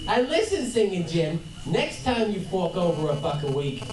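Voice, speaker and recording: A man's voice: sits high, big pitch swings, normal speed. A man playing a cocky, bullying gangster boss in an amateur radio play. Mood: threatening. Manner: menacing, tough-guy. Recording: roomy, distant, noisy live panel recording.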